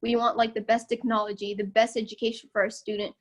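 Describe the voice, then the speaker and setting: A high-pitched young woman speaking from home, guiding listeners through a presentation with a chatty, upbeat delivery. Her voice carries loudly, and she breaks into laughter often between points. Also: little pitch movement, quick pace. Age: young adult. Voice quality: loud voice. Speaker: student body president giving a campus tour. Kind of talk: casual narrated slide tour.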